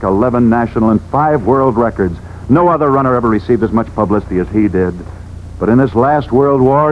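A man's voice: on the low side, a little hiss or hum. Dull old radio recording, theatrical American man. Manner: emphatic, theatrical, repetitive build-up. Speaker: radio sports storyteller, host. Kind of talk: 1940s dramatic radio narration.

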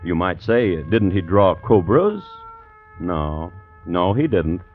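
A wry storyteller, low and very animated in pitch, narrates a radio play from long ago. Music plays faintly behind him. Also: male voice, slow pace, heavily acted. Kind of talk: old-time radio drama narration. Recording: dull old radio recording.

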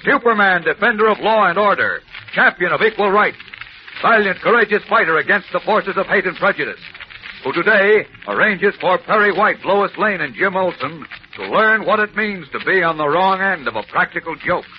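A man's voice: sits high, lively intonation, normal speed. A male announcer narrating an old radio serial in a grand, declamatory style. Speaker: show announcer. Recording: dull old radio recording, faint hiss.

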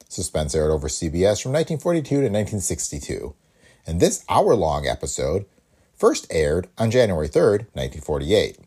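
Mellow, husky podcast host. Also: male voice, sits low. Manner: read from a script, lively intonation. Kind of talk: scripted podcast introduction.

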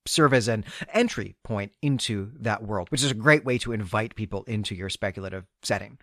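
A man's voice: fairly low pitch, a bit bright, dry recording, clean studio sound. A man co-hosting a book podcast, talking eagerly and laughing easily as he discusses a story. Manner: enthusiastic, quick to laugh, many restarts. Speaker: podcast co-host.

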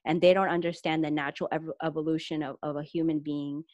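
A woman's voice: deep voice, little pitch movement, fast, darkish tone, dry recording, lo-fi sound. A young woman talking casually and reflectively as a guest, leaning on fillers like "you know" and "like". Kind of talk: casual conversation.

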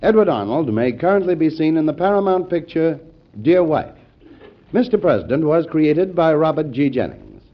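A male announcer reads scripted credits in old-time radio style. His voice is a little high and very lively in pitch, on a dull, muffled recording. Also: normal speed.

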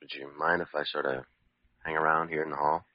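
A man playing an earnest, softhearted police lieutenant, halting and apologetic, in an old-time radio drama. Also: rumbling low, little pitch movement. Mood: apologetic, worried. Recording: muffled vintage radio recording.

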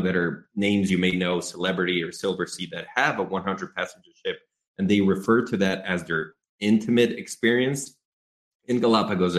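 A very low-voiced man from Ecuador, a native Spanish speaker who talks with an American English accent. He explains his business in a measured, businesslike way, with frequent ums, and his voice carries a roomy echo. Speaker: guest, travel company executive. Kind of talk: podcast interview.

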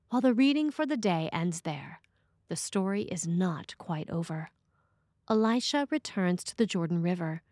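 A woman priest reading a scripted sermon aloud in a measured, expressive way with deliberate phrasing. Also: mid-range voice, no echo, clean studio sound.

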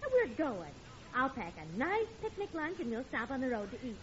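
A woman's voice: high voice, wildly swooping pitch, brisk, a bit bright, heavily acted. An actress playing a sensible, exasperated wife and mother, scolding emphatically in an old radio sitcom. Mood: brisk, nagging. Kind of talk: 1940s-50s radio sitcom acting. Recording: dull, hissy old radio recording, audience.

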